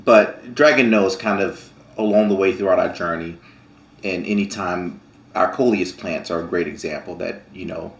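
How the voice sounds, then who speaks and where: A man co-hosting a casual farm chat who speaks in a reflective, measured way with fillers. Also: sits low. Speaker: farm vlog co-host. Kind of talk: casual conversation. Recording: echoey room.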